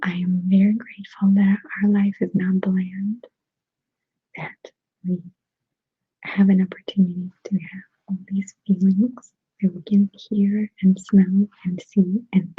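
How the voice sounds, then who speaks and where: A woman speaks in a hushed, very breathy whisper with a Russian accent. She chats gently and unhurriedly in an ASMR style, pausing as she goes. The sound is dry and close, though muffled and thin like a narrow phone band. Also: mid-range voice, steady tone, dry recording. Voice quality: soft, breathy, mostly whispered. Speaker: ASMR creator hosting a live stream. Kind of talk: ASMR, casual chat answering viewers. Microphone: sensitive close microphone.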